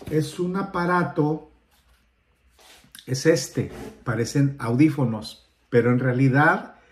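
Slow-talking man, casual and enthusiastic vlogger. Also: mid-range voice, a little mellow. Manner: enthusiastic, informal, hesitant with searching pauses. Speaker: vlogger and musician presenting an unboxing. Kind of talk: casual unboxing talk to camera.

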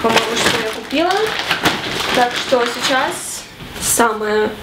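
A young woman in her twenties vlogging casually to camera in her small bedroom, chatty and animated. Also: fairly high pitch, takes it slowly, reverberant, heavy background noise. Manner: chatty and animated, gesturing a lot. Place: small bedroom with fairy lights.

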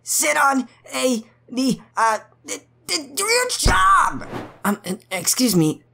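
A woman's voice: sits high, very animated, takes it slowly, vocal fry, bright, forceful tone, a little hiss or hum, full character voice. A woman voicing a fussy, nervous small male imp assassin who talks primly and sputters when flustered.

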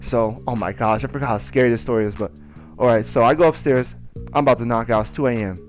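A young man telling personal stories in a casual, rambling, slangy and excitable way. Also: medium pitch, fast talker. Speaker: video creator telling personal ghost stories. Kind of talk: casual storytelling over gameplay. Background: music.

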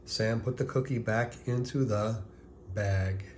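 A man reading a children's picture book aloud as scripted narration, deliberately, with pauses before key words. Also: on the low side, steady tone, darkish tone, slight background noise.

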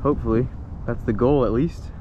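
A man's voice: medium pitch, a little fast, warm tone, dull audio, a little hiss or hum. A young man casually narrating a fishing vlog outdoors and chatting to his viewers in an upbeat way. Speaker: fishing vlogger. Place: outdoors by a saltwater creek.

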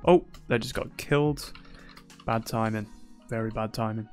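A man's voice: fairly low pitch, moderate pace, soft background music. A young man giving casual, playful gameplay commentary as a gaming YouTuber, teasing opponents and boasting jokingly, his voice a little worn. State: slightly losing his voice.

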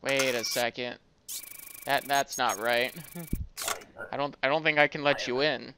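A man's voice: medium pitch, fairly even intonation, measured pace, background noise. A man giving casual gameplay commentary, rambling and thinking aloud as he plays. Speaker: gaming video host.

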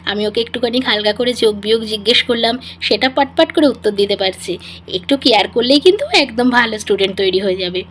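Woman as young home tutor, female, courteous and gentle, soft delivery, reassuring, doll-play family drama. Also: fairly high pitch, fast talker, a little hiss or hum, close to an everyday voice. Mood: polite. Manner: soft-spoken, courteous and coaxing.

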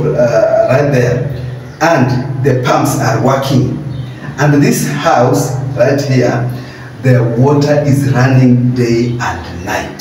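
Slow-talking man in a very echoey room. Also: mid-range voice, a little mellow. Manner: halting, repetitive phrasing. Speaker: vlogger plumber. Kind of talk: casual walk-through of a building site. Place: empty unfinished concrete rooms indoors. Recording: very echoey bare room, distant mic, noisy.

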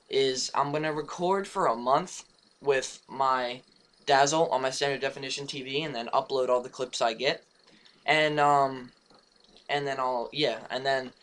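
A young man with a raspy, just-woken voice, rambling through a casual update for his gaming channel with many fillers and restarts. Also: mid-range voice, fairly bright tone. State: hoarse, just woke up. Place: home room beside his gaming setup.

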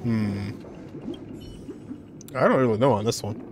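A young man reacting to a game, relaxed and musing, laughing often. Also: fairly low pitch, unhurried, noisy background. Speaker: gaming reaction streamer. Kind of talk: casual reaction commentary. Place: indoor room at desk. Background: game music.

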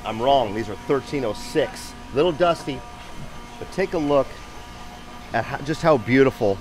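A man's voice: mid-range voice, expressive, normal speed, music in the background. A man in his forties giving an enthusiastic, informal tour to camera as a show host. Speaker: company video show host. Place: large open factory hall, indoors. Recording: noisy factory floor ambience.

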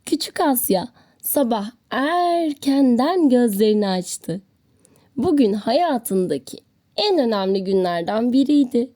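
A woman narrating a children's story in an expressive, scripted storytelling style. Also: fairly high pitch, slow.

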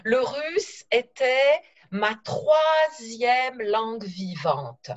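Middle-aged woman on a muffled video call, kinda high voice, takes her time telling stories. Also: lively intonation. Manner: slow, careful storytelling with hesitations. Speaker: interview guest. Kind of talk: conversational podcast interview. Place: living room with sofa.

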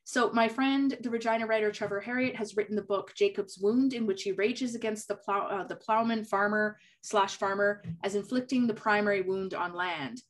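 A woman's voice: mid-range voice, fairly even intonation, moderate pace, few pauses. A young woman moderating a Q&A over a video call from a home office, reading questions aloud in a friendly way. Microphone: video call webcam.

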